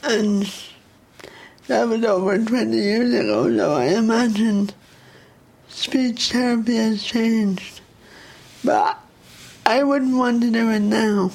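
Slow, gravelly Irish woman with a speech impediment. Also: fairly high pitch, a little mellow. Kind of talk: personal reflection.

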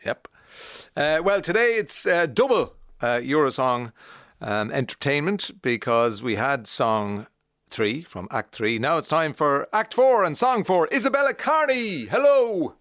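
A man's voice: a little high, big pitch swings, a little slow, fairly bright tone, dry recording, clean studio sound. An Irish man hosting a radio show, joking and hesitating with fillers as he chats with a guest. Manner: jokey, hesitant, fond of fillers and laughs. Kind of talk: casual radio interview. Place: radio studio.

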